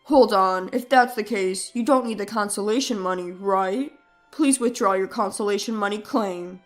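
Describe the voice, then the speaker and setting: A woman's voice: mid-range voice, normal speed, faint music behind, voice pushed down, lightly acted. A woman voicing a defensive cheating husband in a scripted story, pleading and condescending, with emphatic delivery. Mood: indignant.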